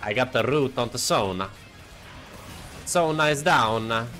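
A young man from Norway, a native Norwegian speaker, joking through live gameplay commentary and chat banter, swearing and shouting at big moments in the game. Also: mid-range voice, animated, measured pace, faint music behind. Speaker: streamer.

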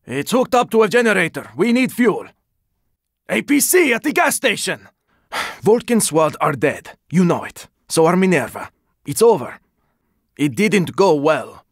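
A man playing a gruff, weary, sardonic squad fighter in a zombie video game, speaking in terse lines with a raspy voice. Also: high voice, big pitch swings, a little slow, no echo, studio quality, heavily acted. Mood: weary, grim. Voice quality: raspy, gravelly. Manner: terse, urgent, swearing. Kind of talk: video game character combat barks.

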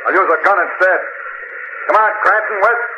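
Man playing a high-pitched, ruthless radio gangster. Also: normal speed, close to an everyday voice. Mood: menacing. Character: hard, threatening male gangster, ruthless train hijacker. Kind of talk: 1940s radio acting. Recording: noisy old radio recording.

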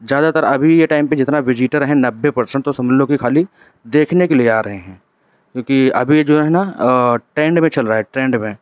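A man's voice: mid-range voice, brisk, no room sound. A man from narrates a casual road-trip vlog from a moving vehicle. He talks in a chatty way and keeps adding "theek hai" as a check-in tag. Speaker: travel vlogger.

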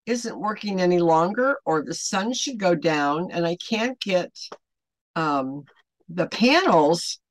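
An older woman around seventy speaks slowly at a medium pitch in a dry, close room sound. She hosts an unscripted faith talk from home, wandering between thoughts and leaning hard on key words and rhetorical questions. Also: no echo, low-quality recording. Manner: rambling, emphatic, rhetorical questions. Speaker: online ministry host. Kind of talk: unscripted devotional talk to camera. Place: small furnished home room, indoors.

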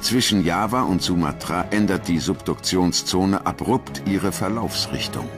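A man's voice: sits low, measured pace, over loud music. A man reading scripted documentary narration as the narrator.

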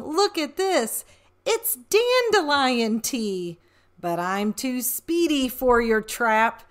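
A woman's voice: a little high, fairly bright tone, heavily acted. A woman voicing a cheeky, boastful leprechaun in a rhyming picture-book read-aloud, drawing out key words playfully. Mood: gleeful boasting.

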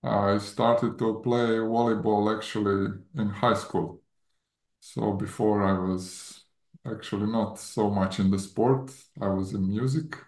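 Croatian man, 30s, unhurried and halting, roomy video-call sound. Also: on the low side, fairly even intonation. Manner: hesitant, many fillers, non-native grammar. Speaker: guest. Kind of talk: casual interview. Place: home room with plants.

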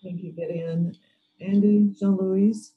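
A woman in her thirties hosting a training workshop over a video call, warmly introducing each participant in turn. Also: medium pitch, steady tone, slow, breathy edge, narrow, tinny audio. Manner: friendly, thanks each speaker. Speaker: workshop host.

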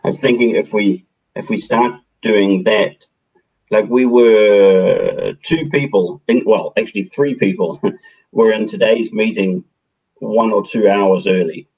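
A man in his thirties hosting a meeting over a headset on a video call, chatting in a relaxed way. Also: on the low side, a little slow, husky. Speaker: meeting host. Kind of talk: casual video call discussion. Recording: dull lo-fi call audio.

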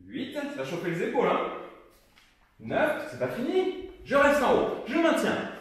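A young man leading a home workout class, counting reps aloud and giving steady encouraging cues as he demonstrates. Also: very high-pitched, a little slow. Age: young adult. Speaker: fitness coach. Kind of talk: guided workout instruction. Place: gym studio, indoors. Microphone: far camera. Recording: very echoey room, voice from far off.

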